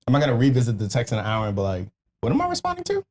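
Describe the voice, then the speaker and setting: An American man chatting casually in a blunt, opinionated, slangy way, with a husky voice. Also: mid-range voice, huge pitch swings, very fast, a little mellow. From Northern Virginia and Washington DC area, United States. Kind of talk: casual chat.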